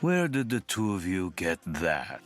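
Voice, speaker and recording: An actor playing a gruff, sardonic innkeeper husband in an audio adventure drama. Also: male voice, low voice, sing-song, unhurried, exaggerated voice. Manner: haggling, sardonic, blustering at his wife. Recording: faint music behind.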